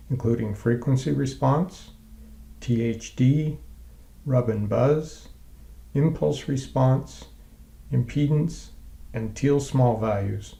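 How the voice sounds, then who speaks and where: A man delivers a scripted technical lesson as a voice-over. His voice is relaxed and mid-pitched with a creaky edge. He explains things in a measured, clearly enunciated way. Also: echoey room. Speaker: presenter of technical training sessions. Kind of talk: scripted instructional narration.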